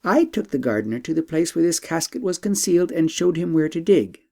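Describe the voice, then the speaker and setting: A man voicing an earnest, practical young princess with formal, expressive storybook diction. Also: on the high side, a little fast, vocal fry, exaggerated voice. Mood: confiding. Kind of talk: audiobook storytelling.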